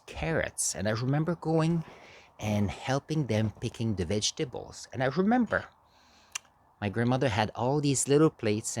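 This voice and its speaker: A man giving a storytelling speech as an amateur speaker, halting, with dramatic pauses and emphasis. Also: on the low side. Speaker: amateur public speaker practicing a contest speech. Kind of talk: animated storytelling speech, tall tale.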